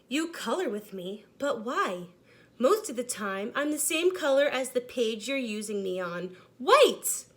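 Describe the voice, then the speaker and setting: A woman's voice: high-pitched, a bit bright. A woman voicing a downhearted, overlooked storybook crayon in a plaintive manner.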